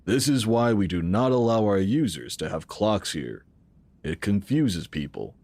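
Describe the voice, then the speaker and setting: An actor playing a calm, assured founder of a futuristic tech organization, explaining in a measured way in an audio drama. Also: male voice, lowish voice, no room sound, heavily acted. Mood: explanatory, assured. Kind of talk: scripted audio drama acting.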